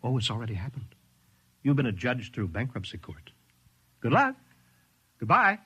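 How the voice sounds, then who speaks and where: Brisk, unsympathetic debt collector. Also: male voice, mid-range voice, very animated, sharp tone, husky, highs cut off, heavily acted. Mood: brisk, indifferent. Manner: businesslike, offhand. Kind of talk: 1950s American radio drama acting. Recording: vintage 1950s radio recording.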